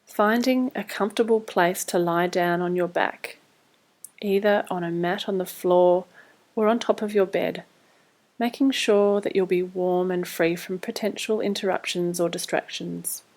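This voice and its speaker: Woman doing guided meditation, kinda low voice, calm and soothing, measured delivery. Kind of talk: guided body scan meditation, scripted instruction.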